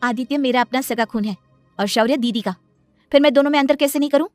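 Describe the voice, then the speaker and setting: A woman voicing a spiteful, sharp-tongued young stepmother in a cartoon story, scolding and dismissive. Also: high-pitched, quick pace, dry recording, soft background music, voice pushed up, natural voice. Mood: defiant.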